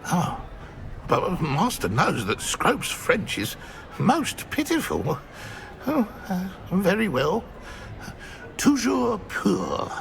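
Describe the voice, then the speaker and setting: A small servile house-elf from a fantasy game, with a high, gravelly creak. The speech is slow and hesitant, cringing and nervous, while the pitch swings about wildly. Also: male voice, huge pitch swings, a bit bright, a little hiss or hum, full character voice. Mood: nervous, deferential. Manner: cringing, hesitant, speaks of himself in third person.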